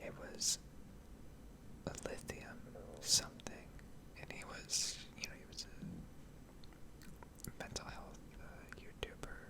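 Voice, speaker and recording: A woman's voice: slow pace, long pauses, low-quality recording. A woman with an American accent whispering a candid, rambling ASMR monologue with hesitant phrasing. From New England, United States. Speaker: ASMR video creator.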